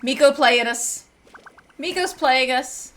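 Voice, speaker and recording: A woman's voice: high-pitched, slow, a bit bright. A woman streaming a game, giving casual, playful, chatty commentary as she reacts to the story, with game music behind her.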